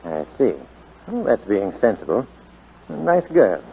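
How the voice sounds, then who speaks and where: An actor playing a grave, frank but kindly hospital doctor in a 1940s radio drama. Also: male voice, medium pitch, very animated, measured pace, gravelly, husky, exaggerated voice. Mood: grave, measured. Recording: tinny 1942 radio broadcast.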